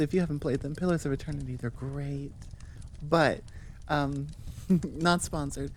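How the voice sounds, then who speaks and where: A woman's voice: low-pitched, darkish tone, slight background noise. A woman voice actor and channel host chatting casually and off the cuff to her listeners, rambling and enthusiastic. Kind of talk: casual unscripted chat to listeners.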